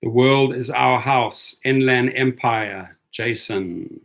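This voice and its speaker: A man's voice: mid-range voice, takes it slowly. Upbeat man hosting a livestream. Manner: upbeat, repeats phrases, gives shout-outs. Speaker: livestream host. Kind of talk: casual livestream chat, reading out viewers.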